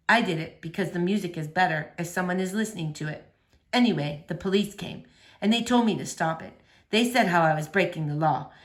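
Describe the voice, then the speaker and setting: A woman voicing a lonely, gentle middle-aged man, a shy ex-convict guitarist who talks in a quiet, slow mumble. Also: lowish voice, pitched below the performer's own voice, natural voice.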